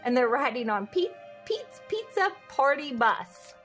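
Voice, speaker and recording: A woman's voice: high-pitched, big pitch swings, a little slow, fairly bright tone, soft background music. A woman reading a children's picture book aloud expressively, with sing-song refrains and little questions to young listeners. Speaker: read-aloud host.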